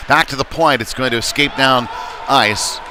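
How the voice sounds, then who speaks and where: A man doing live play-by-play of a youth hockey game in a halting, folksy, conversational way. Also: mid-range voice. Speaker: play-by-play commentator. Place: indoor ice rink during a game. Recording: noisy arena, clear rink ambience behind.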